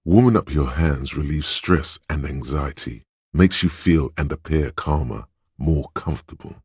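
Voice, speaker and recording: Slick, cocky game-character hustler: extremely low, very husky bass, theatrical money-hungry swagger. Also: male voice, measured pace, a little mellow, no echo, studio quality, heavily acted. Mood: cool, observant. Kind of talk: video game voice acting, dramatic narration.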